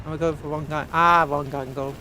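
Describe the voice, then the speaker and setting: A British man with a high-pitched voice commentates casually over his own gameplay. He is playful and childlike, slipping into exclamations and sing-song nonsense sounds. Clear vehicle noise from the game runs behind him. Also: little pitch movement, a little fast, bright, forceful tone. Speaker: streamer playing a video game. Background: video game vehicle noise.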